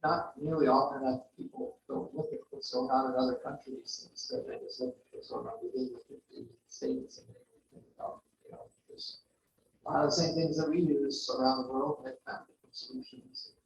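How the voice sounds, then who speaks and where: A man's voice: mid-range voice, steady tone, a little fast, vocal fry, a little hiss or hum. Man hosting in a very echoey hall. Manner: rambling, filler-heavy. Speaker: meeting host. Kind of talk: moderating Q&A at a club meeting. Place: meeting room. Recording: very echoey room, distant dull mic.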